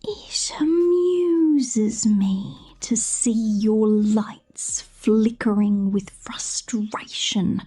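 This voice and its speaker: Woman acting a slow, half-whispering possessive captor woman. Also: mid-range voice, mellow, breathy edge, exaggerated voice. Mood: possessive menace. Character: possessive, condescending human mechanic captor, playfully menacing woman. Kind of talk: ASMR roleplay.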